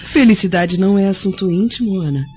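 An actress playing a gentle, devoted Roman wife in a radio drama, speaking softly from the heart. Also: female voice, medium pitch, fairly quick, a little hiss or hum, lightly acted. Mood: tender. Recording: dull lo-fi audio with faint score.

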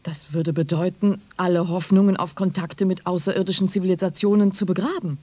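Polite doubtful interviewer in a radio play, husky voice, really dry studio sound. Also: male voice, high voice, fairly even intonation, a little fast, mellow, no room sound. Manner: polite, questioning, hesitant. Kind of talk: German radio drama acting.

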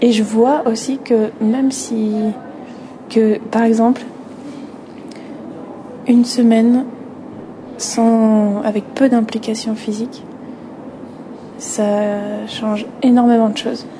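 Female, very slow and level delivery, pausing thoughtfully as she testifies. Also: fairly high pitch, level tone. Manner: deliberate, pausing often. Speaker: dance student. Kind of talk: reflective personal testimony. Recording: noisy ambient background.

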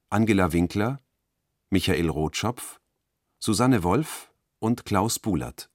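A man reading the credits of a radio production in a formal, deliberate way. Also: sits low, no room sound. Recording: dry close studio sound.

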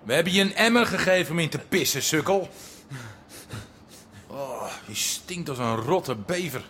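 An actor playing a callous, taunting gangster guard in a radio drama. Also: male voice, a little high, expressive, moderate pace, fairly bright tone, slight background noise. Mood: taunting, cold. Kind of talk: Dutch radio drama acting.

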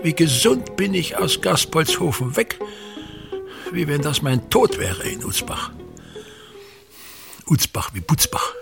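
An embittered, pompous older actor-director in a radio play. His fairly high-set voice creaks and swings theatrically as he declaims, repeats himself and domineers, with music clearly behind him. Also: heavily acted. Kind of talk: theatrical radio drama monologue.

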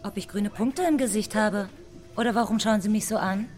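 A woman's voice: mid-range voice, a little fast, darkish tone, soft background music, close to an everyday voice. A woman playing an indignant young stranger in a radio play.

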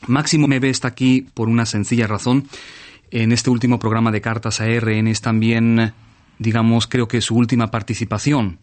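A male radio host with a medium-pitched voice. He speaks in a friendly, conversational interview style, as if chatting on air with a colleague. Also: level tone, moderate pace, a little mellow.

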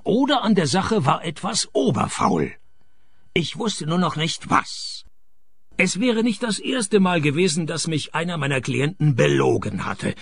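A dry, laconic private eye in a radio play. His creaky voice moves widely in pitch as he tells his case deadpan. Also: no room sound, full character voice. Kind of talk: scripted radio play narration and dialogue.

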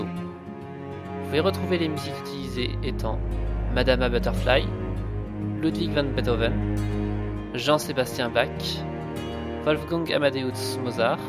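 Man announcing over loud music. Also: mid-range voice. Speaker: credits announcer. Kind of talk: scripted narration of end credits.

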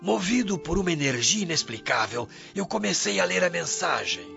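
A man's voice: high voice, very animated, normal speed, gravelly, sharp tone, heavily acted. A man playing a weary, humble old Roman sailor who recounts his life solemnly and emotively in a Brazilian accent, in radio drama style. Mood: reverent. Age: older. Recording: muffled radio drama with music behind.

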